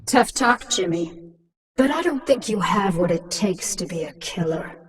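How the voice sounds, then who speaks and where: A woman's voice: mid-range voice, lively intonation, unhurried, husky, distant mic. A woman playing a ruthless alien swarm queen in a video game, commanding and scornful in manner. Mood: mocking. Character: ruthless alien swarm queen, transformed human, imperious and taunting.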